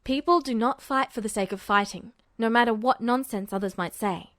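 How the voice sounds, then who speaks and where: A woman's voice: fairly high pitch, normal speed, a bit bright, no room sound, clean studio sound, natural voice. Terse, wry young foreign man, voiced by a woman. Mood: sardonic. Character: wry, cool-headed young foreign soldier, male. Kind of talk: storybook character dialogue.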